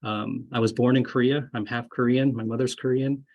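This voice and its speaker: Gravelly-voiced man on a webinar call. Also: mid-range voice, little pitch movement, normal speed, lo-fi sound. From grew up moving around, largely Florida. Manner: friendly, rambling, many fillers and restarts. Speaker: associate dean speaking to incoming students. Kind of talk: casual webinar talk. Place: home or office, indoors. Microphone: video call.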